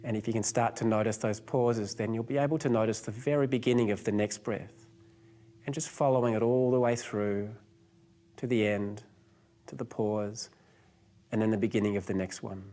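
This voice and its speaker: Low-voiced man guiding a meditation. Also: fairly even intonation. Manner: gentle, unhurried instruction with pauses. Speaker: meditation guide. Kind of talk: guided meditation narration.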